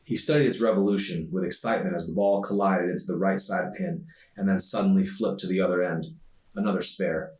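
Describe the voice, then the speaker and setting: A man reading his own novel aloud as an author, in expressive audiobook narration. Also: sits low, a little fast. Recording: echoey room, distant mic.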